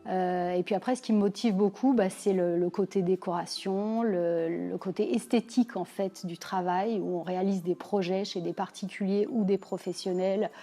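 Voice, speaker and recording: Woman in her forties, from Lyon, soft dark tone, reflective interviewee. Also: mid-range voice, fairly even intonation. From Lyon, France. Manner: measured, thoughtful, frequent pauses. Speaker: business owner interviewee. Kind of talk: personal career account to camera.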